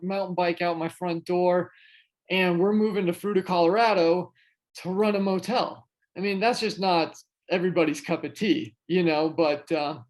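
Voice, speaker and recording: A man who is a guest on a podcast, telling a story casually with plenty of fillers and restarts. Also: sits high. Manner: chatty, many fillers and restarts. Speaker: podcast guest, real estate investor.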